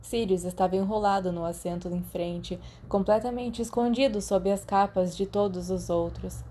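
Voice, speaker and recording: A woman's voice: medium pitch, fairly even intonation, normal speed, warm tone, slight background noise. A woman with a Brazilian accent reading scripted fiction as an audiobook narrator.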